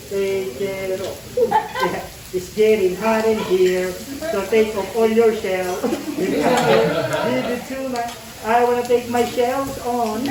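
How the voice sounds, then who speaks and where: A man from the Philippines, a teppanyaki chef keeping up playful, self-laughing jokes as he cooks. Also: sits high. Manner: playful, jokey, laughs at himself. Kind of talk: joking patter while cooking tableside. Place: busy teppanyaki restaurant on a cruise ship. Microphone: camera held by a diner across the grill. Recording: echoey, distant, loud crowd. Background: diners chatting and food sizzling.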